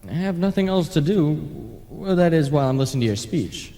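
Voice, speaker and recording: A man acting a sly, sardonic male ghost who flatters smoothly and insinuatingly in a radio comedy play. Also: a little high, brisk, close to an everyday voice. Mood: slyly scheming. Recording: reverberant analogue tape of 1980s radio play.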